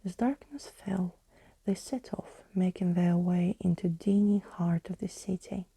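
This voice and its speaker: Woman, ASMR whisper, very mellow, breathy, deliberate storybook narration, unhurried, close and dry. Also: on the low side, steady tone, no echo. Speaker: ASMR host reading a story aloud.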